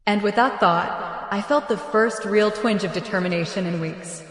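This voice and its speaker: A woman playing a frightened but determined pregnant teenage girl in a dramatic roleplay story, halting and emotional. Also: medium pitch, close to an everyday voice. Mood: tentative resolve. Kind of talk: dramatic first-person roleplay story acting and narration. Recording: echoey, long reverb, music underneath.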